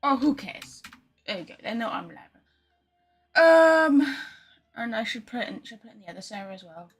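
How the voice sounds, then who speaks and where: A woman's voice: fairly high pitch, expressive, moderate pace, husky, soft background music. A woman game streamer chatting casually to her viewers, rambling and poking fun at herself. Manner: chatty, rambling, self-deprecating. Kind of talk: casual live-stream chat.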